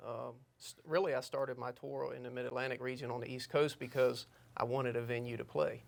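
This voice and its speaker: A man's voice: medium pitch, steady tone, a little fast, vocal fry. A man in his thirties from Virginia, talking casually and modestly on a podcast with dry humor. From Virginia, USA. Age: 30s. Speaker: new staff member, guest.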